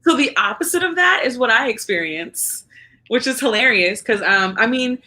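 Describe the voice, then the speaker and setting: A woman's voice: a little high, animated, moderate pace, sharp tone. A young woman talking candidly and wryly in a casual podcast conversation, with a self-mocking edge. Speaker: podcast co-host.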